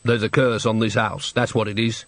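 A man's voice: lowish voice, flat delivery, a bit bright, no room sound. Man as a hesitant, worried tavern keeper. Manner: halting, deferential. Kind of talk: audiobook character voice.

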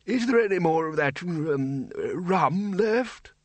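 A very old male wizard for an audiobook. The voice sits fairly high and swings up and down, with slow, doddering delivery. He mutters with hesitant ums, both cheerful and grumpy. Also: expressive, dry recording, heavily acted. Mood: cheerful, tipsy. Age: older. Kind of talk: audiobook character voice.

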